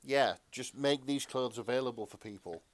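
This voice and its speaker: A man's voice: medium pitch, moderate pace, dry recording. A man co-hosting a podcast in casual banter, hesitant and self-deprecating as he talks. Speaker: podcast co-host.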